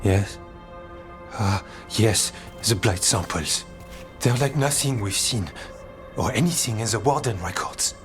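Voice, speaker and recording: An actor playing an earnest, curious scholar-warrior in a video game, thoughtful and a little hesitant. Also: male voice, on the low side, expressive, a little slow. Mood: troubled, intense. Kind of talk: dramatic video game cutscene acting. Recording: clear music behind the voice.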